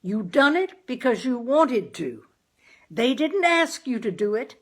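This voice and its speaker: A woman voicing a gloomy, plainspoken working-class father in a storybook, with folksy grammar and a deliberate, emphatic delivery. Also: fairly high pitch, sing-song, voice pushed up, full character voice. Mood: eager, emphatic. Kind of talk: dramatized audiobook character.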